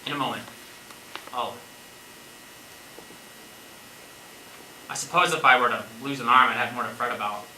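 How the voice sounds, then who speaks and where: A man's voice: mid-range voice, fast talker, pushed and bright. A man acting in a stage play as a wry, rambling man facing death in a zombie apocalypse. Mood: anxious, musing. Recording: echoey stage from far off, noisy.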